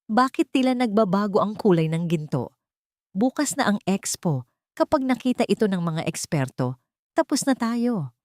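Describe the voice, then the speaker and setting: A woman's voice: medium pitch, sing-song, moderate pace, darkish tone, no room sound, studio quality, pitched above the performer's own voice, close to an everyday voice. A woman voicing a frightened, guilty husband in an audio drama, with an anxious manner. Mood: fearful. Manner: anxious, trembling with fear.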